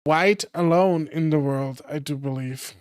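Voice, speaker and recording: A hoarse streamer reading the lines of a cheerful husband who teases his wife with a smile. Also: medium pitch, unhurried, no room sound, clean studio sound, close to an everyday voice. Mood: playful. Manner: smiling, playful.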